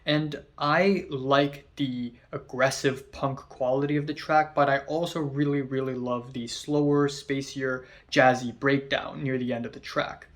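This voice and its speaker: A man's voice: mid-range voice, steady tone, measured pace, few pauses. A young man hosting his own channel, giving a casual spoken album review, chatty with lots of fillers and casual swearing.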